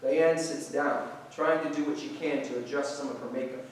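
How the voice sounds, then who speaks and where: A man's voice: medium pitch, fairly even intonation, normal speed. A man reading stage directions aloud as scripted narration for a staged play reading. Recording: distant, very echoey room, faint noise.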